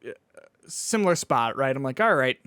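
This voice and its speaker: A man co-hosting a podcast, chatting casually about video games in a halting way, often restarting his thoughts. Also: mid-range voice, big pitch swings, fairly bright tone, clean tone, dry recording, studio quality. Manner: halting, filler-heavy, starts and restarts thoughts. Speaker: podcast co-host and games reviewer. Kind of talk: casual video game chat.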